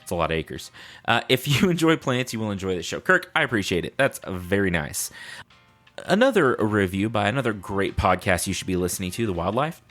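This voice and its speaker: A man's voice: fairly low pitch, big pitch swings, fairly quick, a bit bright, faint music behind. A man hosting a podcast solo in a casual, unscripted way, chatting playfully and rambling with self-deprecating humor. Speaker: podcast host.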